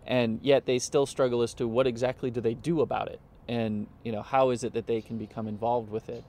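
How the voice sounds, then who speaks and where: A middle-aged man talking as a professor in a conversational review, earnest and halting, often repeating words as he forms his thought. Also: medium pitch, flat delivery, mellow. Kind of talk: conversational interview, reflective review.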